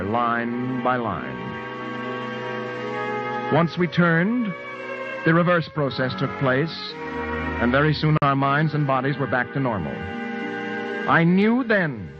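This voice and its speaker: An actor playing a grave scientist narrating an old-time radio drama in a formal, measured way with dramatic pauses. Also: male voice, medium pitch, lightly acted. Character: grave male scientist recounting his strange flight. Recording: muffled old radio broadcast. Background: music.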